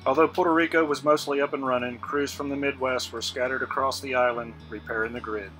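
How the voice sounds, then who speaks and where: A man giving calm, matter-of-fact voice-over narration for a sailing travel video. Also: medium pitch, a little fast, faint music behind, low-quality recording.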